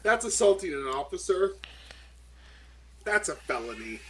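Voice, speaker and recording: A man's voice: sits high, very animated, measured pace, fairly bright tone, a little hiss or hum. A man playing a tabletop game, jokingly describing what his character does in casual chat.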